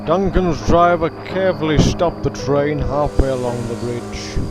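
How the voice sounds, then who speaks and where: Slow, higher-pitched male storyteller. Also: music in the background, poor audio. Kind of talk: scripted dramatic narration of a children's story.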